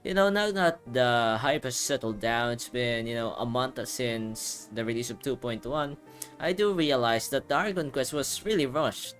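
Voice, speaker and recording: Casual male playthrough host, average pitch, loose thinking-aloud delivery, soft music underneath. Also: moderate pace. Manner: informal, rambling, thinks aloud. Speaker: gaming video host.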